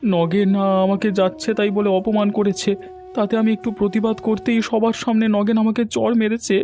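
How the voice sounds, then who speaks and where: A man's voice: high voice, little pitch movement, normal speed, dark tone, soft background music. A man voicing an aggrieved, humble villager in a cartoon, earnest and plaintive. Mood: hurt, despairing. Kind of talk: cartoon dialogue.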